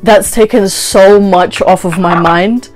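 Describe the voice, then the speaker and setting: A woman's voice: medium pitch, takes it slowly, soft background music, poor audio. A woman in her twenties chatting casually and telling a story, acting out conversations as she goes. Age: 20s. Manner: animated retelling with quoted dialogue and frequent "like". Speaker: vlogger. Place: small bedroom, indoors.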